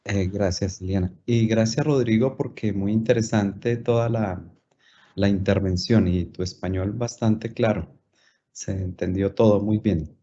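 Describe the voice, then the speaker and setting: A low-voiced man moderates an online talk, passing audience questions to the speaker and rephrasing them at length. His tone is dark and mellow, and the call audio is dull and lo-fi. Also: steady tone, unhurried. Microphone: video call.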